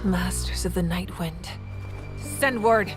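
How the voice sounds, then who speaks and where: Low-voiced commanding warrior queen, music behind. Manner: composed, authoritative, measured. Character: commanding, confident adult female ruler and warrior deity. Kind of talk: dramatic video game voice acting.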